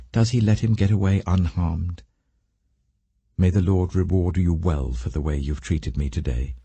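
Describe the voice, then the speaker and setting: A man's voice: low voice, mellow, husky, no echo, exaggerated voice. A British man voicing a remorseful, humbled ageing king, grave and emotional, in a dramatic audiobook Bible reading.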